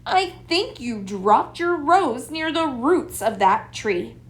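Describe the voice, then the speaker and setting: Storybook boy, voiced by a woman: friendly, reassuring, encouraging, animated in pitch. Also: slow pace. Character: Robert, friendly reassuring boy, playmate to a girl.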